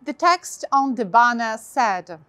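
A woman in her thirties with a Polish accent, giving a scripted historical presentation outdoors to camera on a lapel mic, in a measured, deliberate way. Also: fairly high pitch, animated, bright, forceful tone, no echo. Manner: measured, slow, deliberate delivery. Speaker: guide. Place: outdoors by a modern building.

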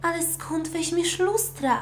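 A woman voicing an anxious, frightened little girl in a children's audio story, pleading and shrill. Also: slow pace, slight background noise, heavily acted. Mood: puzzled. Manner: shrill, fearful exclaiming.

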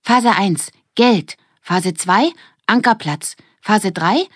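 A woman's voice: mid-range voice, slow, husky, no room sound, studio quality, voice pushed up. A woman voicing an eager young girl who announces things item by item in a children's audiobook. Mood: announcing. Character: eager young girl gang member reading out lists.

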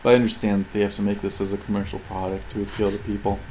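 A man's voice: fairly low pitch, little pitch movement, racing, dark tone, slight background noise, low-quality recording. A man giving casual, rambling, critical off-the-cuff commentary on video game cutscenes. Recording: game soundtrack playing behind. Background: video game cutscene audio and music.

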